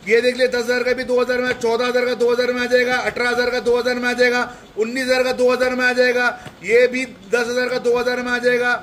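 Young shop guy with a really high flat voice listing prices over and over on his phone in a big store, faint hum behind. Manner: repetitive rapid-fire price listing. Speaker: shop salesman. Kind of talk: promotional sale walkthrough. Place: large indoor shoe and sportswear store.

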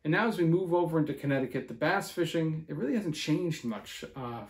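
A man's voice: a little high, lively intonation, brisk, echoey room, noisy background. A man in his thirties giving a conversational weekly fishing forecast from a living room, with plenty of fillers. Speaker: fishing report host.

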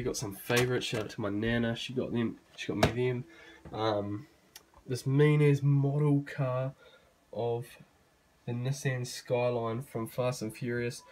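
A young man giving a casual, slightly hoarse tour of his bedroom gaming setup, swearing offhandedly, filmed on a handheld phone. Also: mid-range voice, a little mellow, a little hiss or hum. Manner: laid-back, swears casually, rambling asides. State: losing his voice, clearing throat. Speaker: gaming channel host. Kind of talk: casual room tour vlog. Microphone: handheld phone camera.

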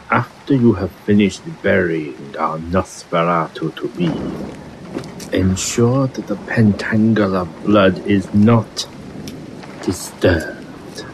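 A man's voice: deep voice, background noise. A man playing a pompous, menacing vampire master in a horror audio drama, speaking formally and grandly. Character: sinister male vampire master, commanding, grandiose.

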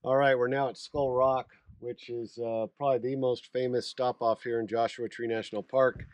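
A middle-aged American man hosting a travel vlog outdoors, giving casual, halting tour commentary to a camera a few feet away. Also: mid-range voice, flat delivery, dry recording. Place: outdoors in open desert. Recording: outdoor camera audio with clear background noise.

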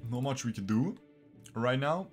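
A man's voice: medium pitch, lively intonation, moderate pace. A young man from Bosnia, raised in Switzerland, commentates his own game live, chatty and loose, often repeating himself. He speaks into a desk mic in a small room. Faint game music plays under his voice. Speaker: streamer.

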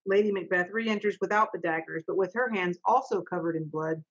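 A woman's voice: fairly low pitch, a little fast, husky. A middle-aged woman acting as stage manager, plainly reading out script directions over a video call. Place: home office, indoors. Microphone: computer mic on a video call. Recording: dull video-call audio.

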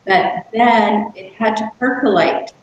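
A middle-aged woman, an author, talking in a halting, thoughtful way with many restarts on a video call from a room at home. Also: mid-range voice, slight background noise. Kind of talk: casual interview on a video call. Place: room indoors. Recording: very echoey room.

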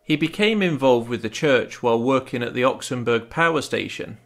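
A man playing a polite, helpful middle-aged church man in an adventure game, speaking in a plain, measured way. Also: medium pitch, a bit bright, close to an everyday voice. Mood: matter-of-fact.

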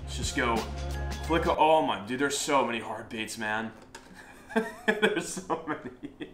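A man's voice: medium pitch, animated, slow. Young male fishing vlogger, upbeat and chatty, recorded in a roomy living room with faint music underneath. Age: young adult. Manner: upbeat, chatty, laughs often. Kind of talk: casual excited online shopping vlog.

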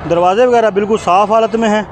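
A man from Pakistan hosting a used-car video, giving brisk, repetitive salesman patter as he walks around cars at an outdoor roadside bazaar. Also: sits high, fairly even intonation. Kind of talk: walkaround car sales commentary. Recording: noisy street outdoors.

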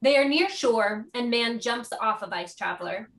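Bright middle-aged woman narrator, slightly distant. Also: on the high side, animated, normal speed. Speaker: stage directions reader. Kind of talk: scripted narration. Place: home office, video call.

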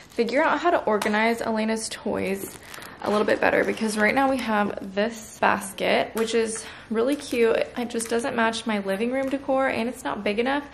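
A woman's voice: on the high side, gravelly. A woman in her twenties chatting casually through a home vlog, bubbly and laughing often. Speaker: lifestyle vlogger. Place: home kitchen and living room. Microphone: handheld vlog camera.

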